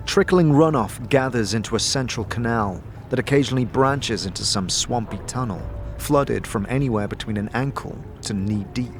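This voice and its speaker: A man's voice: mid-range voice, normal speed, hardly any pauses, faint music behind. A man delivering dramatic scripted narration as the game master of a fantasy story. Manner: descriptive second-person storytelling. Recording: clear background noise, ambience.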